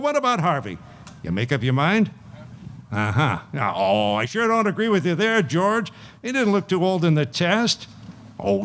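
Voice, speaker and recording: A man's voice: on the high side, fairly bright tone. A man acting an evasive, deal-making Hollywood talent agent in a 1950s-style radio play, with a folksy, hesitant way of talking. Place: live radio play performance at an event.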